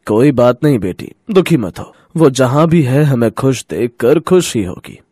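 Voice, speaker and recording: Guy doing a kindly village father for a cartoon, husky voice, animated, dry recording. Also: medium pitch, measured pace, no echo. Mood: affectionate. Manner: affectionate, gentle. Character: kindly humble village potter, doting father.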